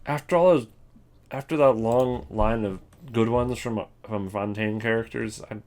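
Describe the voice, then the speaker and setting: A man's voice: lowish voice, a little slow, a little mellow, faint music behind. A man giving casual, off-the-cuff ranking commentary, rambling and jokey in a self-deprecating way. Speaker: video creator ranking game voice lines.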